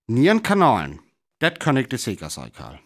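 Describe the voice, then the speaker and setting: An older astronomy professor for a radio play. His North Frisian speech moves freely up and down in pitch. He explains things haltingly, yet sounds self-assured and dismissive. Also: huge pitch swings, a bit bright, exaggerated voice.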